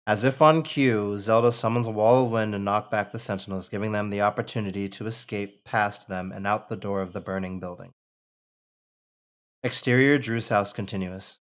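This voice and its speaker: Low-voiced American man narrating a script. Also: moderate pace. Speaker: writer and narrator leading a script table read. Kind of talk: casual reading of screenplay action and post-read chat.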